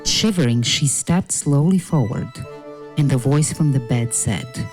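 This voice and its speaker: Slow, measured woman storyteller. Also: rumbling low, lively intonation, a little mellow, faint music behind. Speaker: narrator. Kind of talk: scripted fairy-tale narration.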